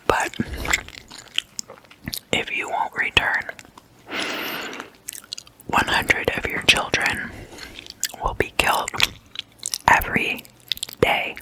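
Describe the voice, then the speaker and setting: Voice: drawn-out words, long pauses. An ASMR host telling stories in a whisper, in a casual, gossipy, conversational manner.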